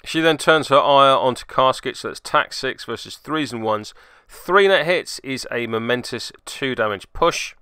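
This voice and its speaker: A man's voice: medium pitch, lively intonation, moderate pace, pushed and bright, clear, resonant tone. A man hosting a tabletop miniatures game report, giving semi-scripted play-by-play with wry, playfully mock-dramatic asides.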